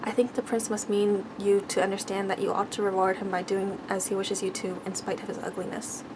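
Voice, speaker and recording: A woman voicing a kindly older father in a storybook, giving advice softly and thoughtfully. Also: mid-range voice, little pitch movement, fairly quick, background noise, close to an everyday voice. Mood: thoughtful, advising. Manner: soft, considered.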